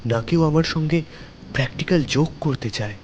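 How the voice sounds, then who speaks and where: A man's voice: on the high side, a little fast, darkish tone, muffled recording, slight background noise. A man narrating a scripted horror story in the first person, with a suspenseful, theatrical delivery. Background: music under the voice.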